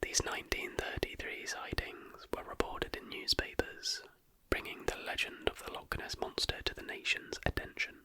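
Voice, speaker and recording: An ASMR host reading scripted narration in a breathy, unvoiced whisper with soft, deliberate enunciation. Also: very high-pitched, no room sound.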